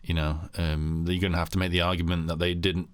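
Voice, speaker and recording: A man with a very deep voice hosts a casual podcast. He rambles, restarting sentences and filling gaps with ums. The sound is dry and close. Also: little pitch movement, brisk, clean tone, dry recording. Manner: rambling, many restarts and fillers. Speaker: podcast host.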